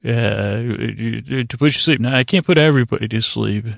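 A man with a creaky voice hosting a bedtime podcast, talking in a soothing, meandering monologue with halting pauses and digressions. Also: mid-range voice, no room sound, studio quality. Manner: soothing, lulling delivery. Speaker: podcast host.

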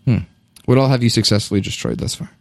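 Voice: mid-range voice, quick pace, creaky, dry recording. Podcast host with a mid-range voice. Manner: brief encouraging backchannels. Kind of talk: casual interview chat.

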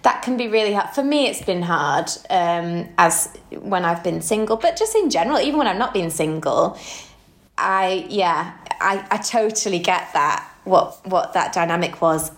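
A woman's voice: on the low side, expressive, moderate pace. Echoey-sounding woman in her thirties, chatting. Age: early thirties. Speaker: podcast co-host. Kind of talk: casual podcast chat. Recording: roomy, echoey room sound.

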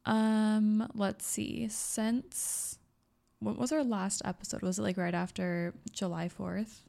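Slow, gravelly young woman co-host. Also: mid-range voice, little pitch movement, dark tone. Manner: laughing, drawn-out, trailing off. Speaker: podcast co-host. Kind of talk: casual chat.

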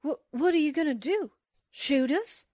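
A woman's voice: high voice, animated, moderate pace, dark tone, dry recording, clean studio sound. A woman acting a feisty, wisecracking newspaper sidekick in a 1940s-style radio drama, breezy and sardonic. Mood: frightened.

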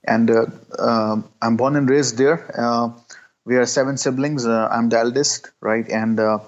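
A man in his thirties from Pakistan, a guest answering interview questions with many pauses, fillers and restarts. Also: medium pitch, steady tone. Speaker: guest, entrepreneur. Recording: dull lo-fi sound.

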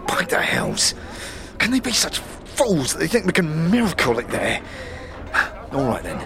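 A man's voice: on the high side, wildly swooping pitch, unhurried, vocal fry, background noise, heavily acted. An actor playing a surly, harried construction foreman with sarcastic street slang in a fantasy audio drama. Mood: suspicious, irritated. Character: gruff, harried, foul-tempered slum construction foreman.

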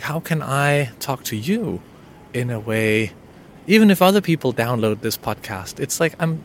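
A man's voice: mid-range voice, slight background noise. A man whose first language is Danish hosts an unscripted solo podcast, talking in a hesitant, intimate way with many restarts. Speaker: podcast host.

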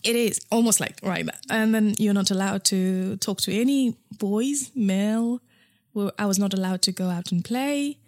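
A woman talks openly and chattily as an interview guest, often restarting her sentences. Her voice sits in a middle pitch. It is recorded close and dry, with no room sound. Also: normal speed, no echo. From Nepal. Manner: frank and chatty, with frequent fillers and restarts. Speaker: interview guest, founder of a period underwear brand. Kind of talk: casual interview conversation.

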